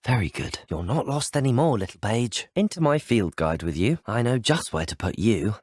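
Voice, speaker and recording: Husky, curious young wizard student. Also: male voice, fairly low pitch, very animated, normal speed, a little mellow, dry recording, studio quality. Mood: curious, pleased. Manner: polite, well-spoken, earnest. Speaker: player character in a video game. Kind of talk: video game voice lines.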